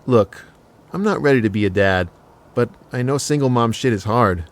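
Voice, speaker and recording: An actor playing a cheeky, big-hearted casual lover in an audio drama, with blunt, slangy, joking talk. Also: male voice, mid-range voice, animated, normal speed, natural voice. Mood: sincere.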